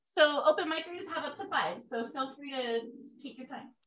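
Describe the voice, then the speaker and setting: A woman's voice: high-pitched, normal speed, fairly bright tone. A woman hosting an open mic over a video call, talking in a chatty, rambling way. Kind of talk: casual hosting.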